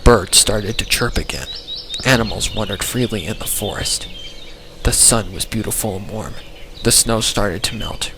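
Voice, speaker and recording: A man's voice: fairly low pitch, noisy background. A man reading a scripted horror story as a dramatic narrator. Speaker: story reader. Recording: loud music bed under the voice. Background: music.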